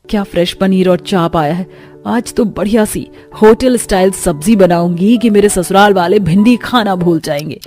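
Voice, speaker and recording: A woman's voice: mid-range voice, moderate pace, a little mellow, soft background music, lightly acted. A woman voicing an exasperated, dutiful young daughter-in-law in a cartoon story. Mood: planning. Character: harried, grumbling young daughter-in-law cook. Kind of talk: acted moral-story cartoon.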